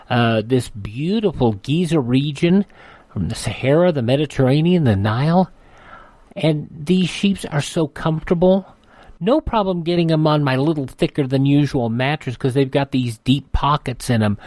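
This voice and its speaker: A man's voice: medium pitch, a little mellow, no echo. A man hosting on radio in a conversational, folksy way. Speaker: radio host. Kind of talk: folksy host-read radio promotion.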